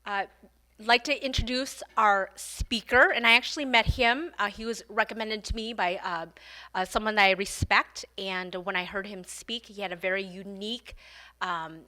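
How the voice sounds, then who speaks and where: Bright-voiced woman hosting a business event. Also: mid-range voice, steady tone, normal speed. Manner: friendly, with frequent fillers. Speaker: event host. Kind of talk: announcements and speaker introduction.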